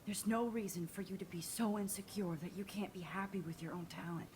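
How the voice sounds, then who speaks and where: A woman playing an earnest, quietly brave teenage girl in a video game, speaking softly and sincerely with a hushed delivery. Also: medium pitch, steady tone, a little fast, slight background noise, close to an everyday voice. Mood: earnest, gentle. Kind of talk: video game voice acting, soft introspective delivery.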